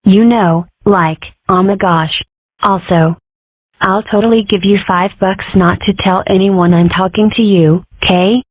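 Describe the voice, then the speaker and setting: A woman's voice: mid-range voice, steady tone, unhurried, dry recording, close to an everyday voice. A woman voicing a snobby, cool cartoon schoolgirl who drawls condescendingly with lots of filler words. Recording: tinny, phone-like audio.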